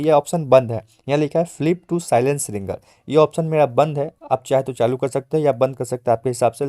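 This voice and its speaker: A man's voice: medium pitch, mellow, no echo, studio quality. A man giving an informal step-by-step phone tutorial as host, explaining repetitively with frequent check-in tags. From India.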